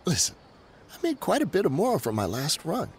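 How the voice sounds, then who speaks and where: A man's voice: on the high side, wildly swooping pitch, a little hiss or hum. An actor playing a nervous male smuggler who pleads and bargains when cornered, in a game cutscene. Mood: pleading. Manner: pleading, bargaining, quick to back down.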